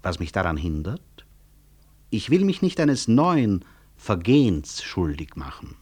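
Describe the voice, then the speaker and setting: A middle-aged doctor in a classic radio play. His voice is low and husky, with lively rises and falls. He is courteous on the surface and sardonic underneath. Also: full character voice. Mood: ironic. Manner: dry, sardonic, measured courtesy. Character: proud, ironic male medical professor, dryly courteous. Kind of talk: 1960s German radio drama acting.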